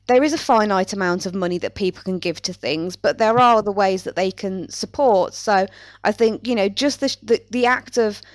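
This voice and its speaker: A woman's voice: mid-range voice, moderate pace, no echo. A woman speaking in an articulate, considered way as a panellist in a casual podcast chat.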